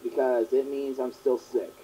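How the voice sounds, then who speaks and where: A young man hosting his own fan question show, talking in a rambling, earnest way that repeats itself, his voice hoarse and partly gone from a long cough. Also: medium pitch, level tone, a little slow, dark tone, slight background noise. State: sore throat, partly lost voice from a long cough. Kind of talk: casual monologue answering fan questions. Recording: dull lo-fi home recording.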